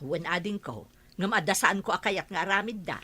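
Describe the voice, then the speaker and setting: A woman's voice: on the low side, fairly quick, bright, forceful tone, slightly rough. A woman with an Ilocano accent playing a fed-up, righteous village wife in a radio comedy drama. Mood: disapproving.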